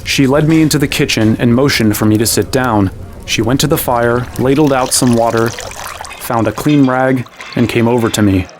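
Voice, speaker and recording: Actor as wry, kindly young fantasy hero. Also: male voice, medium pitch, moderate pace, close to an everyday voice. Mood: measured. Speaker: actor and narrator in an audio drama. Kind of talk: acted first-person narration and dialogue.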